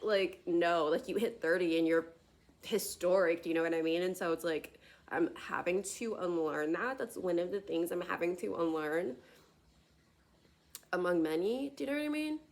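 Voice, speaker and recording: A woman's voice: on the low side, normal speed. A woman in her late twenties, talking to herself on a tablet camera in a rambling vlog, full of tangents and filler words. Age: late 20s. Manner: tangential, filler-heavy, many "like" and "you know what I mean". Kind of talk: rambling solo vlog monologue.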